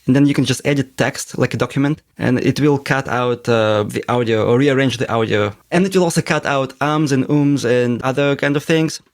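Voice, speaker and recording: Guy, gravelly creaky voice, talks slow and thoughtful, in his 30s. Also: medium pitch, no echo, studio quality. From Russia. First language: Russian. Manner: measured, thoughtful, explains at length. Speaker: podcast co-host, interviewee. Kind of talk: reflective conversational interview.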